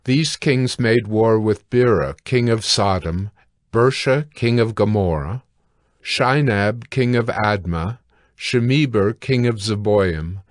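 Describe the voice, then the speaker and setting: A man narrating scripture from a script in a measured, deliberate way. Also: lowish voice, dry recording, clean studio sound.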